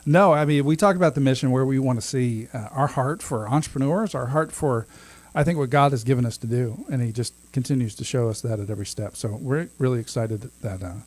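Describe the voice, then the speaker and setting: A man's voice: medium pitch, a little fast, few pauses, a little mellow, no room sound. A man in his thirties co-hosting a podcast, chatting casually, laughing often and restarting his sentences. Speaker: podcast co-host. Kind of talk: casual chat.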